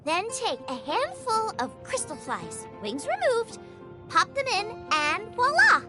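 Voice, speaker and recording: Super high perky teen girl chef, game character, chatty n eager, really bouncy pitch, music in bg. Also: wildly swooping pitch, takes it slowly, heavily acted. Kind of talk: video game character voice lines.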